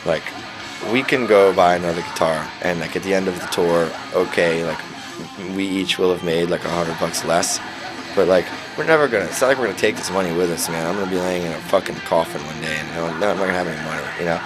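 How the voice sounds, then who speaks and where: A man's voice: low-pitched, fast talker, rough recording. A young man, a band singer, chatting casually in an interview, laid back, swearing freely and filling his talk with "like" and "man". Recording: loud music playing behind.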